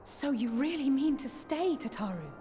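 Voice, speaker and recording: A woman playing a gentle, polite young adventurer in a fantasy video game. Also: high voice, animated, normal speed, slight background noise. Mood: gentle concern. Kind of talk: video game cutscene acting.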